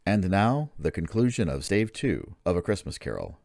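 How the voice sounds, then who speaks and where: A man's voice: low-pitched, big pitch swings, normal speed, vocal fry, darkish tone, no room sound, studio quality, heavily acted. A man delivering scripted announcements for a radio play and its sponsor. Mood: measured. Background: plucked guitar music.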